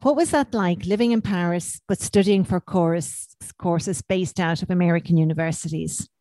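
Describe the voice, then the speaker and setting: A woman's voice: on the low side, moderate pace, warm tone, no room sound. A woman hosting an interview podcast, asking encouraging questions and often using the guest's name. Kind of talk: hosted interview.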